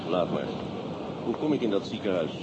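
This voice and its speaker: An actor playing a brisk, wry police inspector with a Dutch accent in a radio drama. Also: male voice, deep voice, sing-song. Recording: dull, noisy radio play recording.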